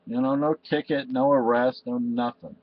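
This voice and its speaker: A man's voice: mid-range voice, fairly even intonation, normal speed. An older man from Spain talking to his webcam at home in a meandering, emotional way, full of restarts and "you know". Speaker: vlogger. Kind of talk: rambling personal monologue to camera. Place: cluttered room at home, indoors. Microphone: webcam in front of him. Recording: slightly dull lo-fi webcam audio.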